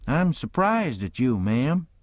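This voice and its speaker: A man voicing a cheeky young trickster who pleads in a mock-innocent, earnest way, higher than his own voice. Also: sing-song, measured pace, a little mellow, no room sound.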